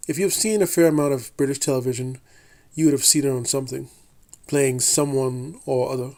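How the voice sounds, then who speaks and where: A man delivering a prepared television review at a steady, mid-range pitch. His reading is measured and deliberate, and he now and then trips over a word and starts it again. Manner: measured, deliberate delivery with occasional slips and restarts. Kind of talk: scripted review read aloud.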